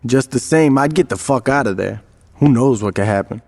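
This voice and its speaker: An actor playing a commanding, wry street gang leader in a video game, speaking in authoritative casual slang. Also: male voice, mid-range voice, normal speed, creaky, darkish tone, a little hiss or hum, natural voice. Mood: briefing.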